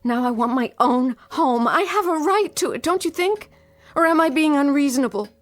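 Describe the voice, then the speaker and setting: A woman's voice: high voice, lively intonation, normal speed, no echo. An actress playing a determined, frightened fiancée in a radio drama, intense and dramatic, drawing out her phrases. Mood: wounded, insistent. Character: determined, frightened long-waiting fiancée, pleading then defiant.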